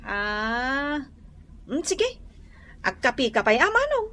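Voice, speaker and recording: A woman's voice: sits high, big pitch swings, takes it slowly, bright, forceful tone, a little hiss or hum, heavily acted. A woman acting a young, teasing, bickering sister in an Ilocano comedy radio drama, with an Ilocano accent. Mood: teasing, playful.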